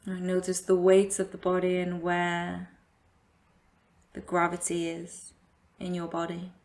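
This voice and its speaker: Female meditation guide, lowish and very unhurried, gentle invitational phrasing with plenty of space. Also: monotone. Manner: gentle invitational instruction with long pauses. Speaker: yoga and meditation teacher.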